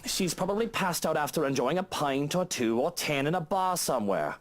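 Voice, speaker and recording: A man's voice: on the high side, expressive, a little fast, pitched above the performer's own voice, natural voice. A man voicing a sleepy, sarcastic young man who bosses his staff around with exasperated remarks.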